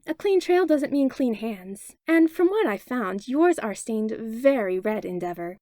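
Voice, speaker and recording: A woman voicing a small, fearless female lawyer who talks sweetly and deliberately. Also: fairly high pitch, expressive, mellow, no echo, clean studio sound. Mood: cold. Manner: sweet, cutting, slow and deliberate.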